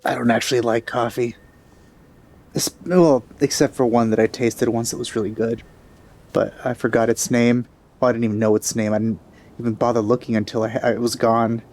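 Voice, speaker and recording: A man's voice: medium pitch, level tone, a little fast, darkish tone. A man giving casual let's play commentary in a rambling, hesitant way, often correcting himself, close to the microphone.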